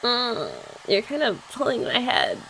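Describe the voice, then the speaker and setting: Young woman, ASMR girlfriend roleplay; playful, teasing, lively pitch, some vocal fry, faint dryer noise behind. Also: measured pace, rough recording. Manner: playful teasing chat, soft-spoken. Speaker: ASMR creator.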